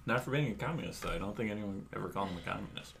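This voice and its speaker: A man's voice: fairly low pitch, expressive, very fast, gravelly, fairly bright tone, a little hiss or hum. A man co-hosting a podcast, joking and laughing often as he chats through a game. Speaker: podcast co-host. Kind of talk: casual chat while playing a game.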